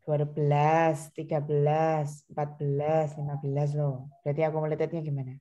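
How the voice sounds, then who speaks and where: A woman's voice: low voice, level tone, normal speed, warm tone, lo-fi sound. A woman teacher leading an online lesson, prompting students with questions. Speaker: accounting teacher. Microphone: video call.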